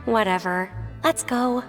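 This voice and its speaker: A woman's voice: medium pitch, takes it slowly, over music, natural voice. Sassy young woman in long reverb, acted. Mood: sardonic. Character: sassy, blunt young woman with a sardonic temper. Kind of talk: anime video game voice acting.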